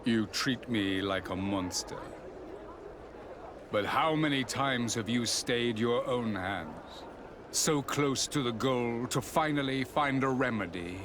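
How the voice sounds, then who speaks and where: Self-righteous mad doctor, lowish voice, very unhurried, scornful, theatrical, game villain, noisy outdoor ambience. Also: male voice. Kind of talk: dramatic video game acting.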